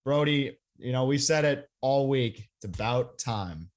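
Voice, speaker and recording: A man's voice: medium pitch, lively intonation, measured pace. A man hosting a podcast, presenting in an informal, presenterly way. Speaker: podcast host. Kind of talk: casual show hosting.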